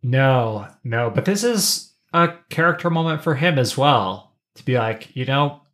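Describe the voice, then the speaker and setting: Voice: medium pitch, animated, unhurried, a bit bright. A podcast co-host in a casual pop-culture discussion, wry and given to voicing imagined lines.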